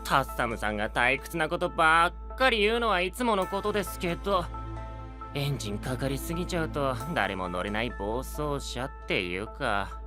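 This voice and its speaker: A man voices a cheeky young knight in an anime audio drama. He wraps playful jibes in polite wording, with a bouncy, wide-ranging pitch, and music plays clearly behind him. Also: sing-song, moderate pace, natural voice. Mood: teasing, amused. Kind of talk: anime-style voice drama acting. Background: background music.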